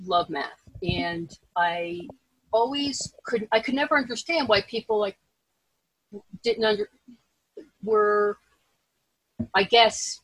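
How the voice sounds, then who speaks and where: A woman hosting a casual interview, speaking in a halting, enthusiastic way with frequent restarts. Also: mid-range voice, fairly bright tone, husky, low-quality recording. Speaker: podcast host interviewing a guest.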